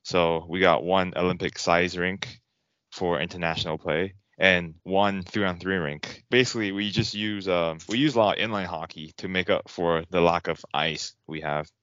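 A young man from Taiwan, a hockey goalie guest on a podcast, easygoing and quick to laugh. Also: low-pitched, steady tone, a bit bright, no room sound, highs cut off. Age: young adult. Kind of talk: podcast interview.

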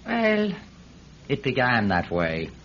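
A man's voice: mid-range voice, huge pitch swings, takes it slowly, a bit bright, heavily acted. A man playing a talkative, evasive Irish villager in a radio drama, hesitant and wheedling as he rambles. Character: talkative, evasive Irish villager testifying about his friend. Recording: dull, lo-fi radio recording.

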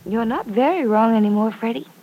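Patient, tender-hearted leading lady played by a woman, slightly husky, earnest, vintage radio soap opera style. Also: on the high side, a little fast, phone-quality sound, lightly acted. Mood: forgiving, tender.

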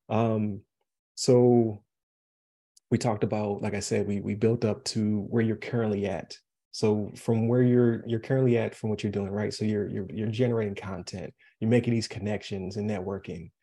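A man's voice: fairly low pitch, steady tone, normal speed, warm tone. An American man from Buffalo, New York, hosting a podcast interview in a casual, chatty, rambling way. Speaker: podcast host.

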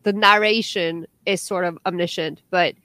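A woman's voice: lowish voice, fairly even intonation, normal speed, bright, forceful tone, no echo. A young woman from Norway, a podcast co-host chatting casually and animatedly, with many restarts and fillers. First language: Norwegian. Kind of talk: casual chat.

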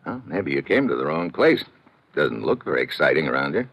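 A hardboiled American investigator in a vintage radio thriller. His deep voice is laconic and wry, yet moves widely in pitch. The old recording is muffled. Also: very animated, a little fast, husky, exaggerated voice. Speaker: lead actor and narrator of a radio drama. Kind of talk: 1950s-style radio noir acting and narration.

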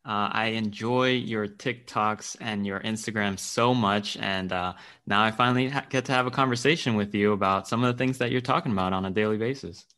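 Smooth low-voiced male podcast host. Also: a little fast, few pauses, sharp tone, no room sound. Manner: easygoing, repeats words and restarts. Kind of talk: casual podcast chat.

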